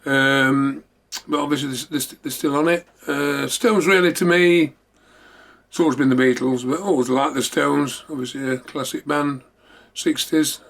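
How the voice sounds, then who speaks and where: A man's voice: on the high side, fairly even intonation, moderate pace, a bit bright. A man hosting a memorabilia show, chatting casually in a rambling, repetitive way. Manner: rambling, repeating himself. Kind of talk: casual show-and-tell chat.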